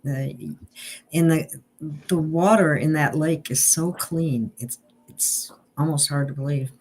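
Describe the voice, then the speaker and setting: A woman in casual conversation about spiritual sites, talking earnestly and haltingly with many restarts. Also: low-pitched, a little mellow, slight background noise.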